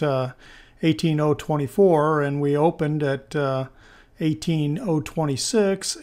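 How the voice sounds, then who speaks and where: A man with a hoarse voice giving informal stock market commentary as host, thinking aloud in a halting, conversational way. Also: a little high, a little mellow. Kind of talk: informal technical analysis commentary.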